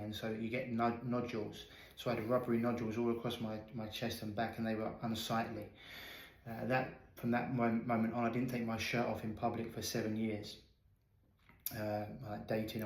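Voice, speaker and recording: Earnest guy in his 30s on a video call, lots of restarts, flat tone, echoey room. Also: mid-range voice. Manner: earnest, candid, many restarts. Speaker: health coach guest. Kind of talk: interview storytelling.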